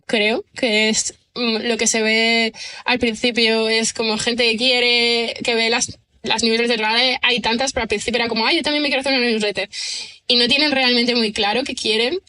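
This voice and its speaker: Bright-voiced woman musing aloud. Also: a little high, level tone, moderate pace. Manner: thoughtful, rambling, frequent tag questions and fillers. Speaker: guest writer and screenwriter. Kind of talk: conversational podcast interview.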